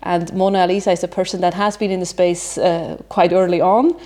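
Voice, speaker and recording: A woman's voice: lowish voice, fairly even intonation, brisk, dark tone. A woman hosting an interview, asking friendly questions and often nodding along with short interjections. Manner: friendly, frequent affirming interjections. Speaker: interview host.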